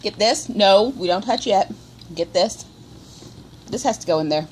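A woman talks a small child through each step of a home cooking video, patient and encouraging, with a faint hiss behind her. Her voice sits at an ordinary middle pitch and is picked up by a handheld camera in a room at home. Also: normal speed, rough recording. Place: indoor home room at a table.

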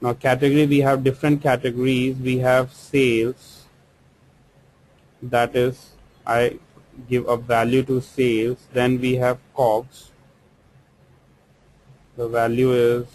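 A man with an Indian English accent talks over a screen recording, guiding the listener through each click in a software lesson. He speaks at an unhurried, deliberate pace in a middle-pitched voice, pausing with small fillers as he explains. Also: completely flat delivery, rough recording. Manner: slow, step-by-step explaining with fillers. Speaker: software trainer.

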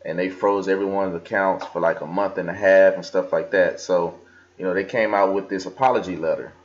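A man's voice: low voice, fairly even intonation, fairly quick, a little hiss or hum. A young man casually talking through a screen recording, with many fillers and restarts as he scrolls and reads aloud. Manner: casual, filler-heavy, talks while scrolling and reading aloud. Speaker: crypto vlogger. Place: indoors at a desk. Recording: slightly dull lo-fi computer audio.

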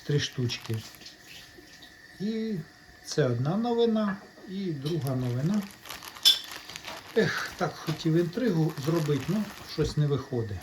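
A man's voice: fairly high pitch, lively intonation, a little slow, pauses often, background noise. A man hosting a casual hands-on electronics vlog, talking in short step-by-step remarks and addressing viewers as friends. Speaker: host of a hobby electronics channel.

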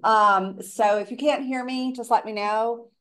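A woman presenting an informal seminar in a casual, chatty way, her voice raspy from a cold. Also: a little high, fairly even intonation, a little slow, a bit bright. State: tail end of a cold. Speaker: presenter, elder care coordinator.

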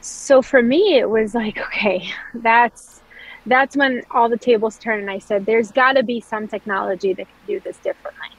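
A woman's voice: on the high side, normal speed, dry recording, poor audio. A woman in her thirties from Israel, a startup founder telling her story in an interview over a video call, fluent with frequent ums and restarts. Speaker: startup founder and CEO, guest.

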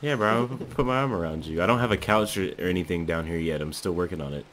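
A young man bantering casually with friends during gameplay, excitable, slangy and quick to swear. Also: sits low, a little hiss or hum. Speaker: gaming video creator.

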